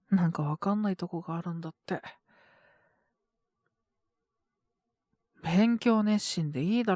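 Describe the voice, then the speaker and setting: Woman voicing a slow, weary, sick young male teacher. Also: mid-range voice, darkish tone, no room sound, studio quality, lightly acted. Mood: teasing, annoyed. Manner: hesitant, mumbling, trailing off with many pauses. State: feverish, weak, coughing. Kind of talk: Japanese boys' love situation voice drama.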